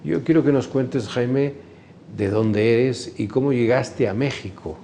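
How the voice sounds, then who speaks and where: Male priest-host, measured and welcoming, dark timbre. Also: mid-range voice, a little hiss or hum. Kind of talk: scripted-sounding show opening, then interviewing.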